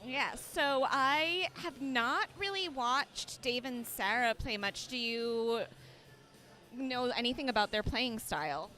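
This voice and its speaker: An upbeat woman chats as co-commentator on a tournament livestream. Her voice is fairly high and strikingly bright, and she keeps the talk lively and friendly. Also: slow, soft background music. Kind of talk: casual sports commentary.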